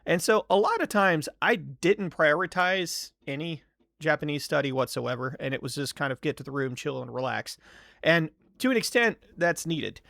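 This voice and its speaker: A young man chats to camera in a relaxed vlog, candid and self-deprecating, often circling back and restarting his thoughts. His voice sits a bit high with a gravelly edge. The sound is close and dry, with no room around it. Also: moderate pace, dry recording. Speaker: vlogger. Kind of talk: casual talking-head vlog.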